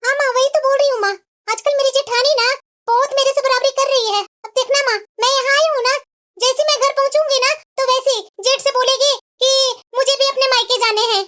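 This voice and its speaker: A woman voicing a chatty, sharp-tongued married daughter visiting her parents in a cartoon. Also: very high voice, steady tone, brisk. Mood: gossiping. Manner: chatty, lecturing. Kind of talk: cartoon voice acting.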